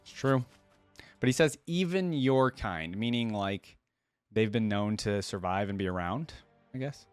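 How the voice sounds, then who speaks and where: Low-voiced, lively man reacting casually. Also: a little slow, smooth, no echo, faint music behind. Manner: reactive, laughs often. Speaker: reaction video host.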